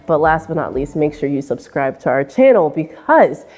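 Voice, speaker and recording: A woman in her twenties with an American accent, giving sassy, animated advice straight to camera in an indoor room. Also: moderate pace. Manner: sassy and animated, mimics voices in high squeals. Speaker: vlogger. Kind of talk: direct-to-camera advice vlog. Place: indoor room with painting behind.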